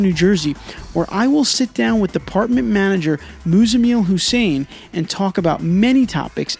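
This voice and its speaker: Man, podcast host, upbeat delivery, slightly high, gravelly, dry sound. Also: darkish tone. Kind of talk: scripted intro then interview. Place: office.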